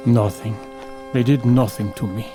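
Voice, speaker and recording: A man's voice: medium pitch, steady tone, normal speed, music in the background, natural voice. An actor playing a grave, desperate village father in a radio drama. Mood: grim, grieving. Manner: solemn, heavy-hearted.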